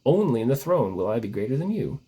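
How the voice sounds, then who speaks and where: A pompous emperor, as a male reader puts him on in a comic storybook tale. He proclaims in a grandiose, theatrical way. The voice is husky and swings widely in pitch. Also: big pitch swings, quick pace, warm tone. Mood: grandly decreeing.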